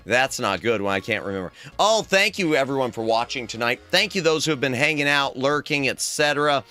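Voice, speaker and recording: A man's voice: mid-range voice, expressive, normal speed, bright, forceful tone, faint music behind. Tired, playful male streamer. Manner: playful, rambling, hums tunes to himself. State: tired, strained throat. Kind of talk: casual livestream chat.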